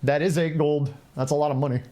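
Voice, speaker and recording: A man's voice: on the high side, fairly even intonation, fast, mellow. A man giving casual, playful live commentary on a game, thinking aloud and repeating phrases as he chats with viewers. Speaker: game livestreamer.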